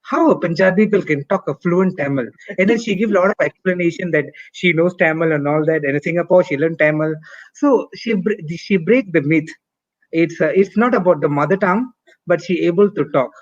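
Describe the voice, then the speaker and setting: A man's voice: sits high, a little fast, dry recording. Earnest man on a dull call. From Malaysia. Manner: earnest, hesitant, many uh fillers. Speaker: guest on a live stream. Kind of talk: casual video-call chat.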